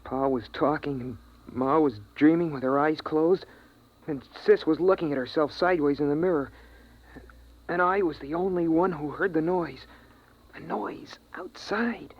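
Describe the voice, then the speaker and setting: An actor playing an earnest, frightened young boy in a slow, suspenseful 1950s radio drama performance, on an old recording. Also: on the high side, husky. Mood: tense, hushed. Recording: noisy, dull vintage radio recording.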